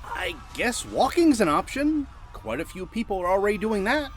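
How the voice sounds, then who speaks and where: Man as a high-pitched, curious everyman. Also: big pitch swings, moderate pace. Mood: puzzled. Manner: questioning, reasoning. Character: curious, level-headed male evacuee, mildly skeptical. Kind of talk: sci-fi audio drama acting.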